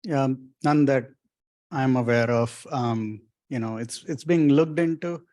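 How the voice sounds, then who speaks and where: A man on a panel of doctors, answering an audience question in a measured, hedging way. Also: mid-range voice, a little mellow. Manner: measured, hedging, many fillers.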